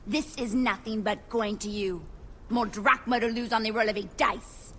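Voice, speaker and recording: An actress playing a righteous, outraged priestess in a video game, accusing and impassioned. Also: female voice, on the high side, steady tone, normal speed, a bit bright, slight background noise, lightly acted.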